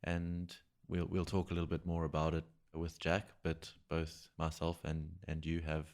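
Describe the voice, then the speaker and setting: A man co-hosts a science podcast with a very low, deep voice. He talks earnestly and a little haltingly, often repeating a word before carrying on. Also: completely flat delivery, darkish tone. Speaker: podcast co-host. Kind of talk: casual podcast chat.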